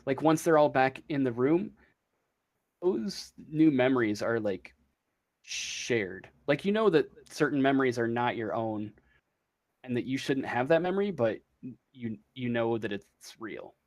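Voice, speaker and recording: A man speaking as game master in casual tabletop game talk, halting and searching for words as he goes. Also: medium pitch, animated.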